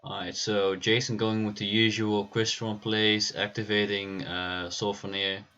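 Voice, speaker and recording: A man hosting a livestream and commentating a card game match, relaxed and laughing easily, with frequent pauses. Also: fairly low pitch, highs cut off. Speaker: stream host and caster.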